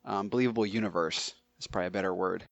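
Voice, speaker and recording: A man's voice: deep voice, fairly even intonation, racing, gravelly, no room sound, lo-fi sound. A man co-hosting a podcast in a loose, casual chat style. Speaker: podcast co-host.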